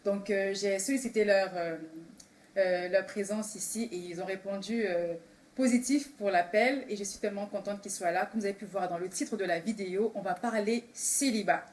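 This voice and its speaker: A woman's voice: mid-range voice, moderate pace, a little mellow. A woman hosting a casual group discussion in an enthusiastic manner. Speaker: host. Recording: clearly noisy room recording.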